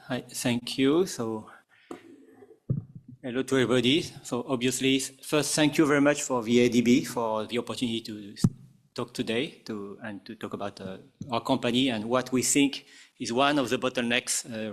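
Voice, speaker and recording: A man with a French accent presenting at a conference panel, halting often with fillers and restarts. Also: medium pitch. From France. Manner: hesitant, many fillers and restarts.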